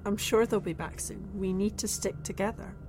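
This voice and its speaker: An actress playing Claire, a curious young woman abducted onto an alien ship, calm and reassuring to others. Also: mid-range voice, moderate pace, close to an everyday voice. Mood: calm, steadying. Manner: reassuring, then eagerly curious. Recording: faint music score underneath.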